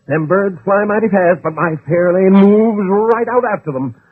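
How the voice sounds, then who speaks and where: An actor playing an eccentric, folksy bird watcher with comic earnestness in a 1960s radio commercial. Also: male voice, high-pitched, moderate pace, breathy edge. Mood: excited. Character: eccentric, gloomy-then-excitable male bird watcher in comic ad. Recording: tinny old radio recording.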